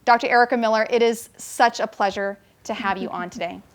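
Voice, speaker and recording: Woman talk show host, upbeat and announcing, a little high-voiced. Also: fairly even intonation, fairly quick. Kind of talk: scripted guest introduction.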